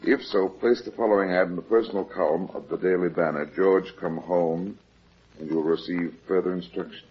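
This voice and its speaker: An actor playing a cool, blunt private detective in a 1950s radio drama, terse and wryly deadpan. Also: male voice, low-pitched, moderate pace, breathy edge. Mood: dry, knowing. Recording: muffled 1950s radio recording.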